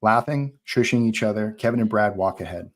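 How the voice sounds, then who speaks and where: A man with a fairly low voice narrates a screenplay at a live read, giving scene directions between lines of dialogue. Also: a little fast. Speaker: narrator reading stage directions.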